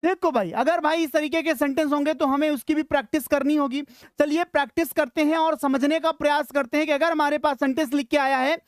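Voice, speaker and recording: A high-pitched teacher leads a live lesson for school students. The delivery is instructional, posing questions, answering them and repeating phrases for emphasis. The sound is dry, with no room echo.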